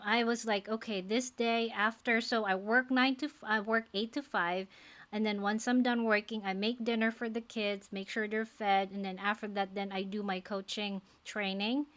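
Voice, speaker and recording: A woman's voice: mid-range voice, a bit bright. Woman, forties, Filipino accent, English as second language, encouraging coach, casual talk to camera. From Philippines. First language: not English. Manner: earnest, encouraging, uses many fillers. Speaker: faith-based life coach.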